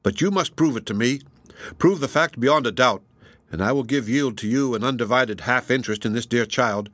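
A solemn, upright sea captain voiced by a male narrator speaks in formal, earnest sentences. The voice is mid-pitched for a man. It is storybook dialogue, recorded dry. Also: moderate pace, a bit bright, no echo, natural voice. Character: upright middle-aged sea captain, solemn loving foster father.

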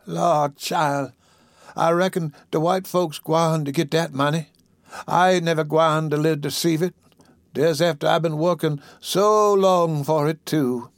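A man acts out a sickly old woman in a storybook tale. The voice is weak, plaintive and raised in pitch, recorded close and dry. She speaks in folksy Southern dialect with pious resignation. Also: steady tone, unhurried, no echo.

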